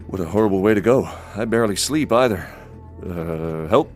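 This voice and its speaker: An actor playing a hapless, fussy private detective in an audio drama, wordy and formal with wry understatement. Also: male voice, lowish voice, lively intonation, normal speed, darkish tone, faint music behind, close to an everyday voice. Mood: nervous. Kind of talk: full-cast audio drama with noir-style narration.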